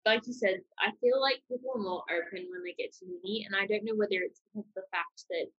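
A woman's voice: mid-range voice, quick pace, slightly rough, dull audio. A young adult woman with a New Zealand accent, chatting informally and self-deprecatingly as a podcast host. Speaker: podcast host, university student. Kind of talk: casual chat.

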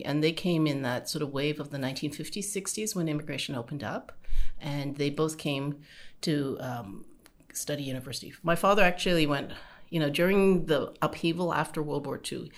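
A middle-aged Canadian woman, an interview guest, telling her family's migration story in a relaxed, rambling way with frequent laughs. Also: low voice. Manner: rambling, chatty, laughs often.

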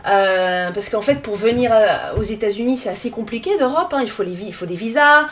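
A woman's voice: medium pitch, fairly quick, slight background noise. A woman in her thirties from France with a French accent, giving conversational answers as a podcast guest. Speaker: podcast guest, tour guide.

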